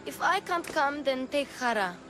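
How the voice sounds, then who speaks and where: A woman's voice: high voice, animated, normal speed, pushed and bright, faint music behind. A woman playing a plucky, affectionate little girl in a video game, with an eager, earnest way of talking. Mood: pleading, tender. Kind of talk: cinematic video game acting.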